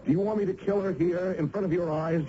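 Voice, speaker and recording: A man's voice: sits high, steady tone, quick pace, lightly acted. An actor playing an earnest, anxious, lovelorn man in an old-time radio drama, with a hesitant, dramatic delivery. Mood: urgent. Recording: dull, noisy vintage radio recording.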